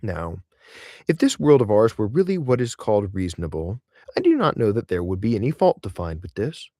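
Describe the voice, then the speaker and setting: A man narrates a literary essay as if for an audiobook. His voice sits fairly low, and he reads with measured, deliberate emphasis. The recording is dry, with very little room sound. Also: very animated, dark tone, dry recording. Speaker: reader of an essay aloud.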